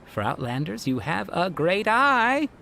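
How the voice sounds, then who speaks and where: A man's voice: sits high, big pitch swings, unhurried, gravelly, pushed and bright, slight background noise, heavily acted. A man voicing a friendly, easygoing young bazaar regular in a video game.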